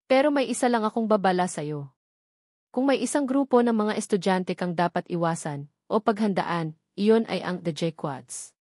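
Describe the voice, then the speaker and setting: A woman's voice: mid-range voice, animated, moderate pace, darkish tone, no room sound, clean studio sound, close to an everyday voice. A woman voicing a friendly female teacher in a storybook, chatty and confiding. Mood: warning.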